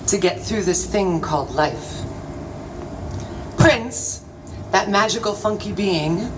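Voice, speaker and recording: A woman writer performing her own piece as a live spoken-word reading, with a theatrical, declamatory delivery. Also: medium pitch. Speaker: writer and magazine editor performing own work. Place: city alley at a live event. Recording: distant mic, noisy outdoor ambience.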